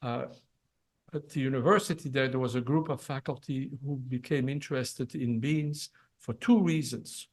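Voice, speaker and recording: Older man, from Belgium, mid-pitched, unscripted ceremony talk, frequent restarts. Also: fairly even intonation. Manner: halting, many fillers and restarts. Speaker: retiring professor. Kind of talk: ceremony presentation. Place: event hall.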